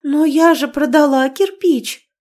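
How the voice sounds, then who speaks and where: A woman's voice: high-pitched, a little slow, a little mellow, pitched above the performer's own voice. A woman voicing a young noblewoman who plays at naive, tearful outrage with a wailing, gushing manner. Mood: shrill complaint. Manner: wailing, gushing like a silly girl.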